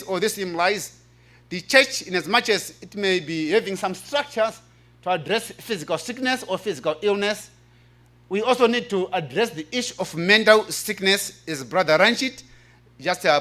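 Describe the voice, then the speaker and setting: A man's voice: high-pitched, sharp tone. A man with an African accent giving an earnest, emphatic conference presentation in a preaching style, repeating key phrases. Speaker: presenter reading a paper at a church health forum.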